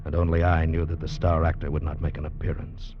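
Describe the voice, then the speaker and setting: A low, grave male voice plays a convict recounting a penal-colony tale. The delivery is measured and dramatic in old radio-drama fashion. It comes through a dull vintage recording with prominent music behind it. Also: level tone. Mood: somber, ominous. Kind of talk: 1940s radio drama narration and acting.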